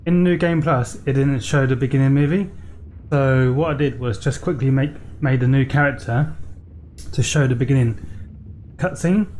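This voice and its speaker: A man's voice: mid-range voice, darkish tone, slight background noise. A man giving casual let's play commentary, explaining what he is doing as he plays. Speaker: game streamer.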